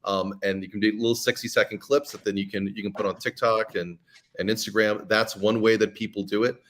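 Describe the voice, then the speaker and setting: A man's voice: on the low side, fairly even intonation, fairly quick, a bit bright, a little hiss or hum. A man speaking as a guest on a podcast, chatty and giving advice with frequent ums and restarts. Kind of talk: casual podcast conversation.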